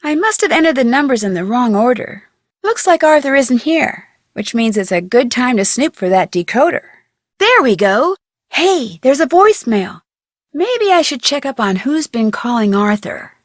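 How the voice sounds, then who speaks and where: A young American woman playing a curious, chirpy amateur detective in an adventure game, thinking aloud with clear enunciation. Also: high-pitched, very animated, moderate pace, no echo, clean studio sound. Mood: inquisitive. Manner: clearly enunciated, inquisitive musing to herself.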